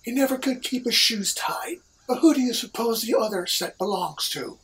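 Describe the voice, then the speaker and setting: Haughty underworld ruler, acted out, very raspy, pitch swooping in exasperation, for comic audio drama. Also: animated, normal speed, fairly bright tone. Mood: grudging. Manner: pompous, easily exasperated. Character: haughty immortal male devil, irritable ruler of the underworld. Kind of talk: audio comedy drama acting.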